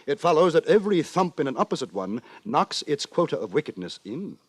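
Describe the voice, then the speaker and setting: An actor playing a fussy, pompous gentleman narrator in an old-time radio drama, speaking in florid, theatrically indignant phrases. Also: a little high, sing-song, fairly quick, husky, dry recording. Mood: exasperated pleading. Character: fussy, pompous gentleman narrator lamenting his wayward friend. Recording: old radio recording with loud music behind.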